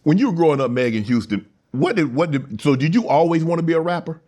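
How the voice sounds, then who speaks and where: A man's voice: fairly high pitch, expressive, fast, mellow. A middle-aged man hosting an interview, asking prodding questions and laughing big. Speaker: talk show host.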